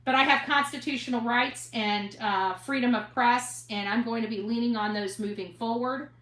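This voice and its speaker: A woman with a bright, forceful and fairly high voice speaks in a blunt, defiant monologue to her followers. She stresses her points like a reporter standing her ground. The recording has a noticeable roomy echo. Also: steady tone, normal speed. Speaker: online investigative reporter and host. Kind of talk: impassioned monologue to online audience.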